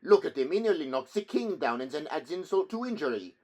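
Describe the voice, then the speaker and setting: A man voicing a mocking medieval street bystander in a storybook reading, taunting and loud. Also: a little high, very animated, a little fast, voice pushed up.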